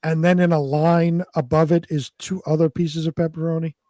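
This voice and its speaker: Male co-host, notably high voice, dry close recording, explanatory and foul-mouthed casual chat. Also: flat delivery, moderate pace, dark tone, dry recording. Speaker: co-host of a live comedy talk show. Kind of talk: casual banter.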